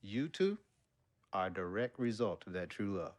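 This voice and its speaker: A loving, firm American father in middle age for a game cutscene. His pitch swings in an animated way. He is emphatic, folksy and jovial. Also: normal speed. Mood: proud, earnest. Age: middle-aged. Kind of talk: naturalistic game cutscene dialogue.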